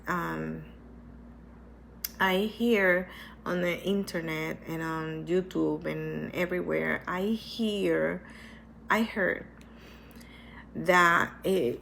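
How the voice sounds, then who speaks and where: A woman talking slowly in a low, gravelly voice, a little stuffy from a cold. She speaks earnestly and reflectively, restarting and repeating her words, with faint room hum behind her. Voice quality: hesitant, with many restarts and repetitions. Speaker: vlogger sharing her cancer experience. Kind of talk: casual personal talk to camera.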